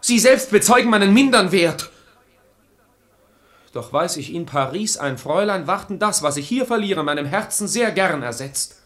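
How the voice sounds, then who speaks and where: A young man playing a proud, sarcastic gentleman suitor, haughty and indignant, in a German radio verse comedy. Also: sits high, animated, moderate pace. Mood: indignant, boastful.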